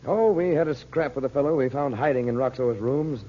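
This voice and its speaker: A man playing a steady adult protector who guards a secret, in an earnest, firmly reassuring 1930s radio drama style. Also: medium pitch, fairly quick, close to an everyday voice. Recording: muffled old radio recording.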